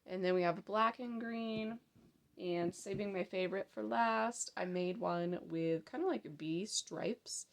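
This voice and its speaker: A woman's voice: medium pitch, measured pace. A woman in her thirties with a slight cold, chatting casually about her handmade work in a rambling, friendly way. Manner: chatty, rambling, lots of fillers. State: hoarse from a cold. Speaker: crochet pattern designer and vlogger. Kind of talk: casual show-and-tell chat. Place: home craft room in daylight.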